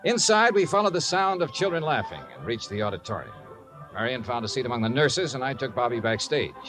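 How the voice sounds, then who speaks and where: An actor playing a kindly, wry investigator in a 1950s radio drama, coaxing gently and narrating in an understated way. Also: male voice, mid-range voice, sing-song, brisk, over music, natural voice, rough recording. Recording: vintage 1950s radio recording.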